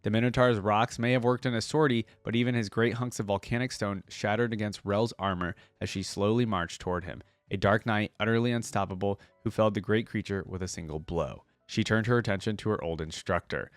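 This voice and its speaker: A man's voice: on the low side, steady tone, a little fast, few pauses, no echo. A man hosting a reaction video, reading a fantasy story aloud and adding casual commentary.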